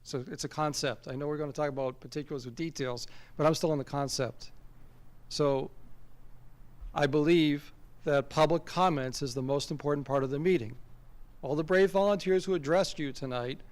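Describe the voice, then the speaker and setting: A man speaking earnestly and deliberately as a member of the public at a local meeting, arguing his point at a microphone. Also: on the high side. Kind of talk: public comment speech at a local government meeting. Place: town committee meeting room. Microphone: standing at a public microphone.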